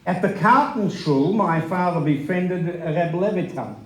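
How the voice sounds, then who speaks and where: Guy reading his lines at a live event, bit high, careful pauses, echoey hall. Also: animated, fairly bright tone. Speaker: stage reader. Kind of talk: poignant dramatic reading of a memoir.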